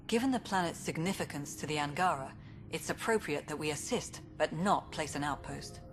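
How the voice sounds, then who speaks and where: An actress performing a woman director of a space colony in a game cutscene. Her voice sits a little low, with clipped, formal delivery and a dry, exacting temper. Music is clearly heard behind her. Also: fairly quick, lightly acted. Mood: cool, guarded. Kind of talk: video game cutscene acting.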